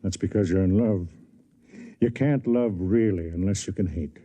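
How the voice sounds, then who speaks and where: An actor playing a kindly, wry man in a 1950s radio drama, speaking in a measured, gentle way. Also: low voice. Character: kindly, wry, compassionate space-station man, narrating. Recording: vintage radio recording with music behind.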